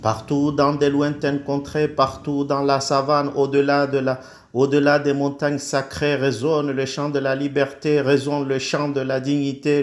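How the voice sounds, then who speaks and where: A man's voice: medium pitch, reverberant. A man from the Comoros reciting a solemn praise poem in an oratorical, declamatory way, in short emphatic phrases. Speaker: poet.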